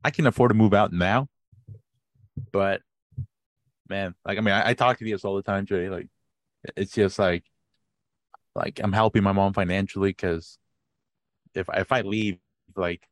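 Young man, late twenties, deep voice, dry close mic, rambling casual chat, swears a lot. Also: steady tone, normal speed, long pauses, no room sound. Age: 20s. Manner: rambling, swears freely, laughs often. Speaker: podcast co-host.

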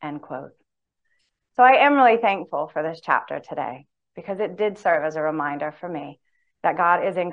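A woman's voice: fairly low pitch, lo-fi sound. A woman speaking earnestly and candidly as she teaches a women's Bible study in a church hall. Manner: earnest, candid, reads long quotes aloud.

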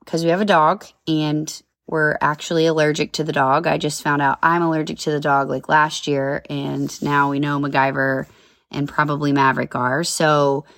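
This is a woman in her thirties chatting on her own podcast, upbeat and full of side notes. Her voice sits low, and a cold leaves it a little nasal and congested. The sound is dry and close, with no room around it. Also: little pitch movement, normal speed, fairly bright tone, no room sound. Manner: chatty, upbeat, rambling with asides. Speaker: podcast host. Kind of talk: casual solo podcast chat.

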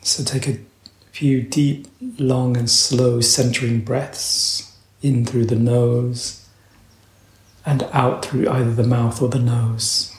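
A man leading a guided meditation as a teacher, speaking in a slow, measured way with long pauses. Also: medium pitch, flat delivery, reverberant.

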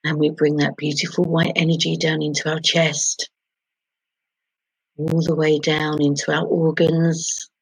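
A woman leading a guided sleep meditation in a soothing, gentle, hushed manner, pausing between short phrases. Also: sits low, flat delivery, breathy edge, no room sound, poor audio. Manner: soothing, hushed, gentle instruction with long pauses.

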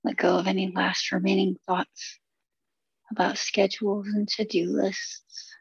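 A woman leading a guided relaxation meditation, giving soothing, unhurried instructions in short phrases with long pauses. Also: medium pitch, little pitch movement, creaky, husky, no echo, lo-fi sound.

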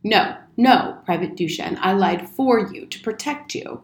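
A woman's voice: medium pitch, lively intonation, unhurried, lightly acted. A woman acts out a blustering old soldier from a storybook. He is pompous and wordy, leaning hard on his words, in a room with noticeable echo. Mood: defensive, pleading. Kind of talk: storybook character reading. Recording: roomy, echoing indoor sound.

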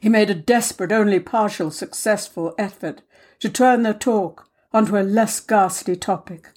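A woman narrating a short story as a reader, measured and expressive, with dramatic pauses. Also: medium pitch. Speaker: audiobook reader.

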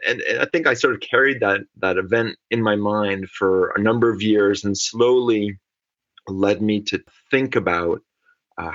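Middle-aged ecologist guest, low voice, reflective and measured, dull lo-fi recording. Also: male voice, a bit bright. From California. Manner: thoughtful, measured, with restarts. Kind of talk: conversational podcast interview. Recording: dull lo-fi audio.

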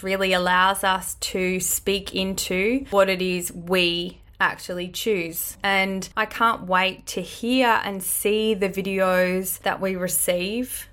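A woman with an Australian accent hosting a podcast, talking in an earnest, slow and deliberate way. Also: medium pitch, fairly bright tone. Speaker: podcast host. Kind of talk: reflective solo podcast talk.

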